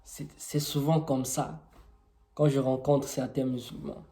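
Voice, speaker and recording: Young Congolese man, French with Congolese accent, Kituba native speaker, warm voice, informal teaching with rhetorical questions. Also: medium pitch, little pitch movement, a little slow. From Congo-Brazzaville. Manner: explanatory, with rhetorical questions and many fillers.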